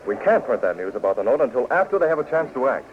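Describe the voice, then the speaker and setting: An actor playing a calm, determined young newspaper publisher in 1940s radio drama, measured and earnest. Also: male voice, mid-range voice, lightly acted. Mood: measured, serious. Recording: muffled, hissy 1940s radio recording.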